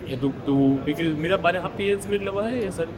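A man hosting a podcast who talks in a friendly, relaxed way, with fillers. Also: high voice, quick pace. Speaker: podcast host. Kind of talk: casual interview chat. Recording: crowd noise behind.

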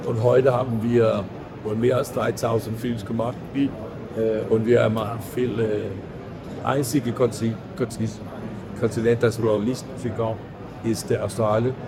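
A man talks as a podcast guest in hesitant, German, stopping often with fillers and restarts. His voice sits at an ordinary pitch for a man. A crowd murmurs clearly in the background. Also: flat delivery. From Belgium. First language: not German. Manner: halting non-native German, many fillers and restarts. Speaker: podcast guest, editor of a print-industry film channel. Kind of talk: casual interview chat. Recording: crowd noise behind.

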